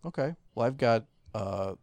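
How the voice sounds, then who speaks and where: A man's voice: medium pitch, animated, normal speed, a little mellow, no room sound. A man co-hosting a podcast in casual, sweary conversation. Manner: profane. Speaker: podcast co-host. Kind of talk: casual chat.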